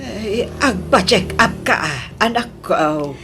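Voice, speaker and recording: A protective older mother in an audio drama, played by a woman. She speaks slowly in a medium-pitched voice, concerned and gently urging. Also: expressive, faint music behind, heavily acted. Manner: solicitous, urging. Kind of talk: Ilocano audio drama acting.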